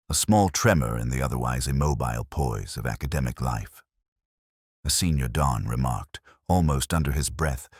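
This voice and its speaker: A man narrating a literary detective story in the first person, in a measured, formal and reflective manner. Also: a bass voice, no echo, clean studio sound.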